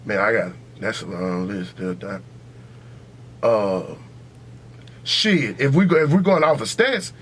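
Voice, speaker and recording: A man's voice: on the low side, animated, slow pace, pauses often, breathy edge. An American man hosting a podcast, debating sports casually but heatedly, swearing freely and repeating himself to press his point. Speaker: podcast host.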